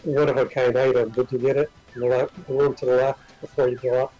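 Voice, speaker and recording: A man with a husky voice, giving game tips in casual chat as a co-commentator. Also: mid-range voice, flat delivery, brisk, warm tone, muffled recording. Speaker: stream co-commentator. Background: game music.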